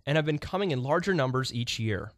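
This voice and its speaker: Lively male documentary narrator. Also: medium pitch, fast talker. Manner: even, reading from a script. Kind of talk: scripted narration.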